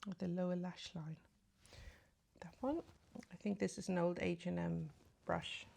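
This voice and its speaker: Gravelly-voiced woman with a slight cold. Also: lowish voice, fairly even intonation, unhurried. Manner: soft, often half-whispered asides while applying makeup. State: slight cold, sore throat. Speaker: beauty vlogger. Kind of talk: casual makeup tutorial chat. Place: at home in front of a mirror.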